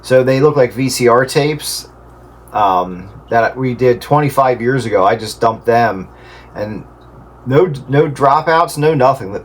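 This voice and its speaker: A middle-aged man in casual radio chat, explaining things in a halting way. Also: mid-range voice. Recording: clear steady background noise.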